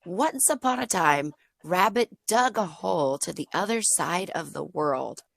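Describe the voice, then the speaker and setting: A slightly hoarse woman leads an online English lesson for a child, modeling each sentence slowly and clearly. Her voice has a gravelly, creaky texture. It sits close and dry, as if picked up by a video-call microphone. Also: fairly low pitch, animated, dry recording. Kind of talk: patient teaching over a video lesson.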